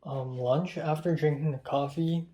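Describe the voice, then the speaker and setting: Raspy-voiced young man, rambling and self-deprecating. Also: fairly high pitch, steady tone, a little slow, warm tone. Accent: Hong Kong English. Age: young adult. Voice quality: muffled. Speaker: vlogger. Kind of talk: confessional vlog talk.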